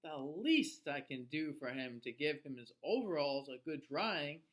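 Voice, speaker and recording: A thoughtful young artist from a storybook as a man reads him aloud muses to himself in a kindly way. His intonation is lively and varied, as if easily inspired. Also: on the high side, measured pace, voice pushed down.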